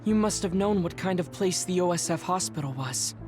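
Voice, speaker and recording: Actress as young woman officer, calm, serious, matter-of-fact, video game, clear background music. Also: on the low side, fairly quick, close to an everyday voice. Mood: grave. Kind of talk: English video game voice acting. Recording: clear music underneath the dialogue.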